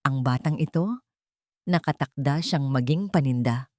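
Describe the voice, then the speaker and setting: A woman's voice: extremely low pitch, a little slow, dark tone, dry recording, studio quality. A woman narrating a dramatic romance story in an emotive storytelling manner.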